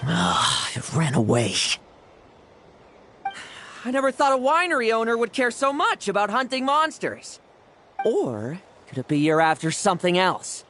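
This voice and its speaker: A man's voice: high voice, huge pitch swings, a little slow, a bit bright, slight background noise, full character voice. A man voicing a cocky, carefree young monster-hunting knight in a video game, teasing and flippant. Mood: sly, teasing.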